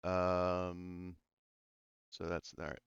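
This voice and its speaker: A man's voice: sits low, completely flat delivery, drawn-out words, gravelly, smooth, dry recording, muffled recording. A man acting as game master in a tabletop game, chatty and loose, with lots of fillers and the odd swear. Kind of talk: running a tabletop game, casual.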